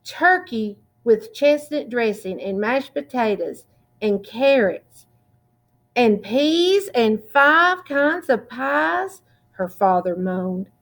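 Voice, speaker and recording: A woman reading a children's picture book aloud in a deliberate, expressive way, drawing out words. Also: a little high. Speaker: children's storytime reader.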